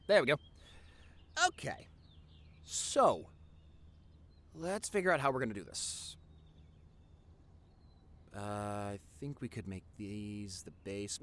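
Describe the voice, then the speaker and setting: A man acting an excitable, lively-voiced nerdy boyfriend. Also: mid-range voice. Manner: stammering, excitable, affectionate, teasing. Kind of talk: boyfriend roleplay audio, intimate one-sided dialogue.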